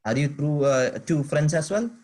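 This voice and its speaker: A man's voice: fairly high pitch, moderate pace, a little mellow, natural voice. A man reading the part of an earnest, polite teenage boy from abroad in a table read, formal and hesitant.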